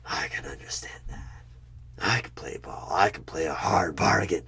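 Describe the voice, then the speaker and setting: A man playing a sinister devilish tempter in a horror ASMR roleplay, slow and coaxing with a breathy, husky half-whisper and menace. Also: a bass voice, exaggerated voice. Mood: insistent, menacing. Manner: slow, menacing, coaxing, with sudden loud bursts.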